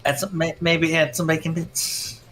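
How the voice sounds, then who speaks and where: A person in casual livestream banter, teasing and quick to interject. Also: medium pitch, little pitch movement, fairly bright tone. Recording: music behind the voice. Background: music.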